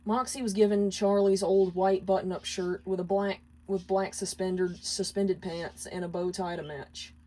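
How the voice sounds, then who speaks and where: A woman's voice: mid-range voice, fairly even intonation, moderate pace, hardly any pauses, dark tone, rough recording. A woman reading a story aloud as scripted narration. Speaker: fan fiction reader.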